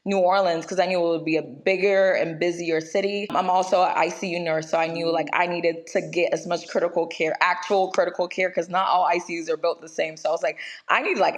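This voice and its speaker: A woman in her twenties from Ghana, a nurse chatting casually as an interview guest with lots of "like" and "you know". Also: deep voice. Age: 20s.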